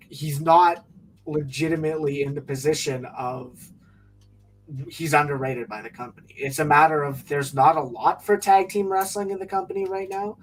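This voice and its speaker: Man disagreeing from a distant mic. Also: a little high. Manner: argumentative, stumbles over words. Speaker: podcast co-host. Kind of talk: casual podcast chat.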